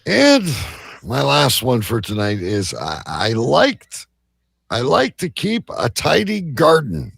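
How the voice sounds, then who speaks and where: A man's voice: mid-range voice, huge pitch swings, slow pace, creaky, fairly bright tone, husky, dry recording. A man hosting a live show with casual, jokey banter and a rambling manner. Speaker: host of a live online show.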